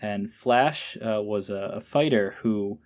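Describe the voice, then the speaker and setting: A man's voice: fairly low pitch, takes it slowly, a little mellow. Informal man chatting as a podcast guest. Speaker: podcast guest, amateur voice actor. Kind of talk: casual interview chat.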